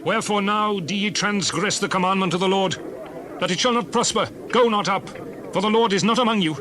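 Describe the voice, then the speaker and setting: Male actor as stern prophet, very high voice, urgent, declamatory, scripture drama. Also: fairly quick, background noise, close to an everyday voice. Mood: urgent warning. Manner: urgent, declamatory, archaic phrasing.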